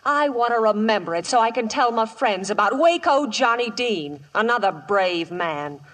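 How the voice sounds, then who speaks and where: Dramatic, expressive frontier woman. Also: on the high side, normal speed. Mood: scornful defiance. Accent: American. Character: defiant, weary frontier woman, outlaw's captive. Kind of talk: 1950s radio drama acting and narration.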